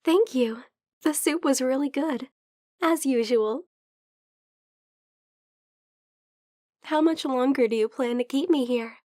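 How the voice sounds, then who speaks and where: A woman playing a gentle, teasing female vampire friend who is unwell, speaking softly and hesitantly in an ASMR roleplay. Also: high-pitched, moderate pace, dry recording, clean studio sound, natural voice. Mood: warm, thankful. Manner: soft, hesitant, playfully teasing. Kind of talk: soft-spoken ASMR roleplay.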